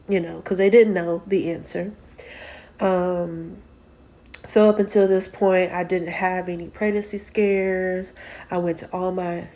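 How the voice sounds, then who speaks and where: A woman in her late twenties talking casually to her viewers in a personal vlog, soft-spoken, with frequent ums and self-corrections. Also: mid-range voice. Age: 20s. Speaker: vlog host. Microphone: camera mic, sitting and reading from notes.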